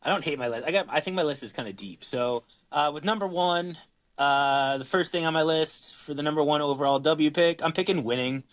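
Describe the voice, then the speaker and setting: A man's voice: a little high, fairly quick, fairly bright tone. A man co-hosting a podcast in casual chat, rambling and laid-back, swearing now and then. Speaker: co-host.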